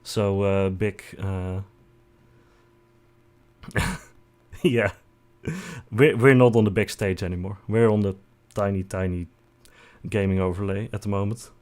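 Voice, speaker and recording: A man's voice: fairly low pitch, fairly even intonation, a little slow, long pauses, a little mellow. A young man with a Dutch accent, a native Dutch speaker, casually introducing himself on a livestream, rambling with lots of fillers and self-deprecating jokes. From Netherlands. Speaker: VTuber streamer.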